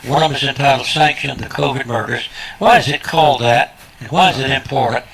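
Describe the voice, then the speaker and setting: A male interview host introduces and questions his guest with formal, emphatic, preacherly phrasing. His pitch is average for a man, with some creak in the voice. There is faint noise in the recording behind him. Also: a little fast, fairly bright tone, low-quality recording.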